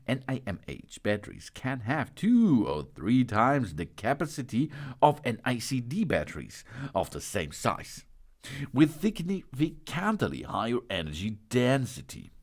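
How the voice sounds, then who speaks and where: A man presenting a product unboxing and explainer, partly reading technical text aloud, with halting delivery and stumbles over words. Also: mid-range voice.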